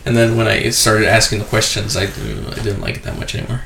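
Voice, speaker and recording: A man's voice: on the low side, fairly even intonation, fast, gravelly, a bit bright, slight background noise. A man hosting a casual podcast chat, laid-back, swearing often and laughing easily. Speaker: podcast host. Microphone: podcast mic.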